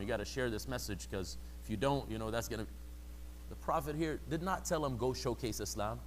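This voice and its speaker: A man's voice: medium pitch, slight background noise, low-quality recording. A man giving a conversational religious lecture, sometimes acting out quoted people in a mocking voice. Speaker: religious teacher.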